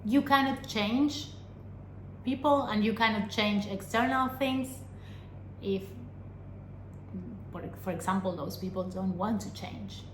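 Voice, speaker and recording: A young adult woman from Argentina, a vlogger giving an earnest personal talk to camera, pausing often and asking rhetorical questions. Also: mid-range voice, slight background noise. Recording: echoey room with faint ambience.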